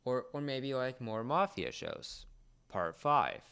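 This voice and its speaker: A young man co-hosting a casual podcast chat, speaking in a halting, rambling way and often repeating words. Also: medium pitch, expressive, a bit bright, smooth. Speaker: podcast co-host.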